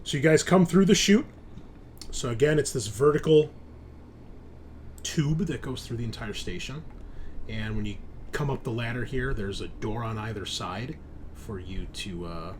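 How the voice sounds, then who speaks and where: A man's voice: mid-range voice, measured pace, long pauses, background noise. A man acting as game master, casually narrating a tabletop roleplaying game.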